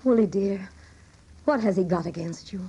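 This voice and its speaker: An actress playing a gentle, careworn middle-aged housewife in a radio drama, soothing and patient. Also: female voice, medium pitch, normal speed, breathy edge. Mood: concerned.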